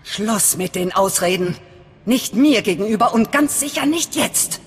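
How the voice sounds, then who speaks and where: A woman's voice: medium pitch, expressive, measured pace, slightly rough, big-room sound. An actress playing a hard, suspicious female resistance leader in a video game, curt and commanding. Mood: stern, impatient. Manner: stern, clipped, commanding. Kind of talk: dramatic video game acting.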